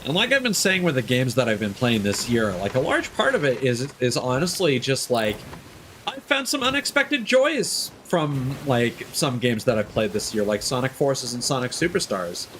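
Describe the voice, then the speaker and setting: A man's voice: medium pitch, big pitch swings, moderate pace, fairly bright tone, a little hiss or hum. A man live streaming a game, casually chatting and thinking aloud in a reflective, rambling way. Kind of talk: casual chat with gameplay commentary.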